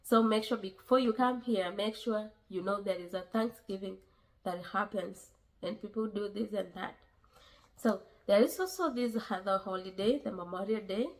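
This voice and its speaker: A woman with a Kenyan accent talks straight to her viewers in a casual vlog. Her voice sits at an ordinary middle pitch, and she speaks earnestly, often repeating phrases and pausing with fillers as she works through her points. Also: darkish tone. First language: a Kenyan language. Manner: earnest, repeats herself, many pauses and fillers. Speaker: vlogger giving advice.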